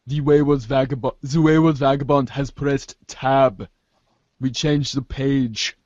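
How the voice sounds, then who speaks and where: A man's voice: mid-range voice, steady tone, unhurried, darkish tone, dry recording, lo-fi sound. A man reading scripted narration for an amateur reader's theater show, performing a webcomic aloud.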